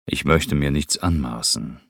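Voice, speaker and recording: Male reader doing a worn-out quiet guy, very deep and gravelly, holding back. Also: a little slow, dry recording, clean studio sound, full character voice. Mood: hesitant. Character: weary, withdrawn man, quiet and hesitant.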